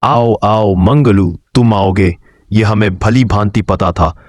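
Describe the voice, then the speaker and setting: A man's voice: on the low side, slow pace, no echo. A man voicing an old prisoner with a knowing, welcoming manner in a cartoon tale. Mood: knowing welcome. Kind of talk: cartoon moral story dialogue.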